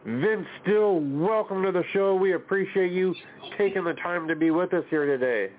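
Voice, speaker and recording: A man's voice: high voice, moderate pace, slight background noise. A man hosting a casual talk show, steadily leading the conversation and questioning a guest. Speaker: podcast host. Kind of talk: casual chat, interviewing. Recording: tinny phone-like line.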